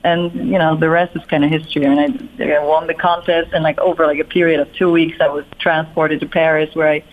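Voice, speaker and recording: A middle-aged woman with a Polish accent talks as an interview guest, looping back on herself with "you know" and restarts. Her voice sits fairly low and comes through a narrow, phone-like band. She sounds reflective and unhurried as she recalls her past. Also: flat delivery. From Poland. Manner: reflective, rambling with many fillers and restarts. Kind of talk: conversational interview storytelling.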